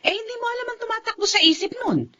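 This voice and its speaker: A woman acting a worried, scolding wife and protective mother with a husky voice in a Filipino radio drama. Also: very high-pitched, big pitch swings, fast, pushed and bright, exaggerated voice. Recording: dull, muffled audio.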